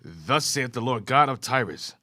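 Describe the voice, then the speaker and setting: A man reading scripture aloud in a class, with a steady, formal reading cadence. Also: mid-range voice, moderate pace.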